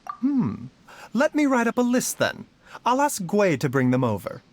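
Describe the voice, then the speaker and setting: An actor playing a gentle, scholarly doctor in a video game, speaking in a polite, measured and softly formal manner. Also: male voice, high-pitched, wildly swooping pitch, exaggerated voice. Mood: polite, apologetic. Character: gentle, courteous adult male doctor, calm and scholarly. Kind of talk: scripted game voice lines. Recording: music behind the voice.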